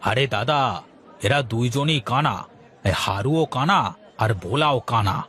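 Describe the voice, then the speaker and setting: A man's voice: mid-range voice, measured pace, fairly bright tone, natural voice. A man playing a humble, cheerful blind village fish seller in a cartoon, with an eager, simple, folksy manner. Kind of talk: cartoon voice acting.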